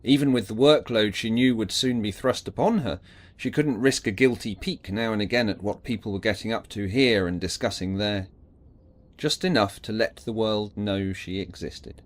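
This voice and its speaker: A man's voice: fairly low pitch, moderate pace. A man narrating a scripted audiobook drama in a theatrical, emphatic storytelling manner.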